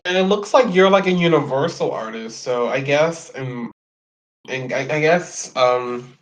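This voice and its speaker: A man hosting a casual podcast interview, rambling and swearing, often slipping into playful quoted voices. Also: mid-range voice. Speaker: podcast host. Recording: dull lo-fi sound.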